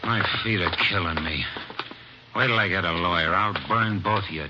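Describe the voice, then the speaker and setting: A man's voice: deep voice, moderate pace, noisy background, lightly acted. A man playing a whiny, surly crook. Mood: sullen. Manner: complaining, threatening. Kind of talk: 1940s radio acting. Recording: dull old radio recording with music.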